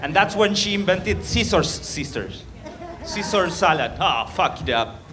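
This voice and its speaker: A man's voice: sits high, expressive, a little slow. A man with an Argentinian accent doing a crude, rambling, self-mocking stand-up set in a club. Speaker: stand-up comedian. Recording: live club with loud crowd.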